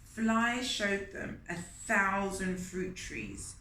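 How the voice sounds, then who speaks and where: A woman reading a story aloud to young children, expressive and often putting questions to her listeners. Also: mid-range voice, drawn-out words. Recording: roomy echo with faint noise.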